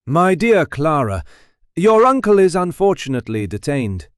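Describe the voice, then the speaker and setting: Casting: elegant middle-aged villain performed by a male reader, soft, cultivated, lifted pitch, amused mockery, storybook mystery. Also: very animated, normal speed, dry recording, studio quality. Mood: faintly amused. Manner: suave, mocking, over-polite.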